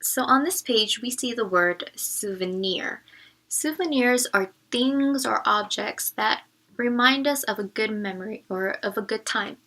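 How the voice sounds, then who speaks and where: Lady reading a kids book out loud like a teacher, bright clear-cut delivery, explains stuff as she goes. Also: on the high side, a little slow. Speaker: teacher reading a storybook aloud. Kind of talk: interactive children's read-aloud with questions and word explanations.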